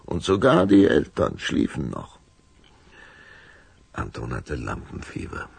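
Male narrator for children's radio play, deep and creaky, expressive delivery. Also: slow pace. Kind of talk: scripted audio drama narration for children.

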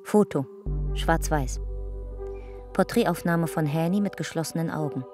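A narrator in a radio play soberly describes photographs in measured, matter-of-fact fragments. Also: mid-range voice, natural voice. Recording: music behind the voice. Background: music.

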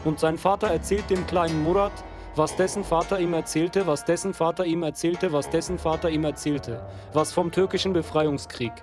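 An actor playing an accusing narrator in a radio drama, telling the story in a pointed, rhetorical way. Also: male voice, on the high side, steady tone, moderate pace, over music, close to an everyday voice.

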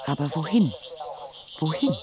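A man's voice: fairly high pitch, expressive, a little slow, a crowd in the background. A man acting as a narrator in a radio drama, telling a nightmarish script in tense, clipped sentences. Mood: weary questioning. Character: urgent male narrator reciting a nightmarish film script. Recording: loud music behind the voice.

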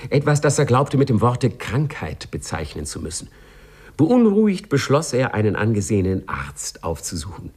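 An actor as a wry, measured storyteller, narrating a scripted 1950s radio play. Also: male voice, mid-range voice, very animated, darkish tone, breathy edge.

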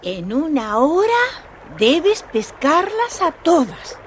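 A woman's voice: sits high, sing-song, slow pace, slight background noise, full character voice. A woman voicing a slyly menacing old witch with a theatrical, mocking delivery in a children's audio storybook. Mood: commanding, gloating. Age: older.

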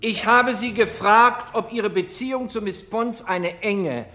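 An actor playing a sharp, relentless prosecutor in a German radio crime drama, cross-examining with probing sarcasm. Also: male voice, high voice, unhurried. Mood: sarcastic.

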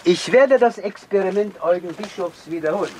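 A man's voice: sits high, moderate pace, a little mellow, slight background noise, lightly acted, poor audio. An actor playing a driven, sardonic man hunting a murderer in old Vienna, mocking and insistent in a theatrical radio drama manner. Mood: impatient, self-assured.

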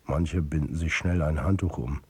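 An actor playing an embattled football coach who narrates his own downfall in a radio drama, tersely and bitterly, with a husky voice. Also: extremely low pitch, normal speed, a little mellow, exaggerated voice. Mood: wry, tense. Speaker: first-person narrator.

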